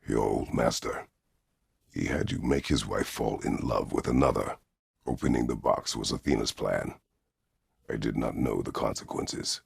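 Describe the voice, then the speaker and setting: An actor playing a stern, weary, middle-aged Greek war god in a video game, speaking tersely and formally with a gravelly, husky voice. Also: male voice, a bass voice, moderate pace, no room sound, heavily acted. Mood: stern, brooding. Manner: terse, grave, formal phrasing without contractions. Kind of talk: dramatic video game voice acting.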